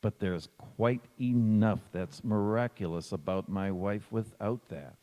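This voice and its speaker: A man acting in a stage radio play as a fond, gently teasing husband, indulgent and theatrical in his delivery. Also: on the low side, measured pace, lightly acted. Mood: reassuring, teasing. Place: large reverberant church or hall. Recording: echoey hall.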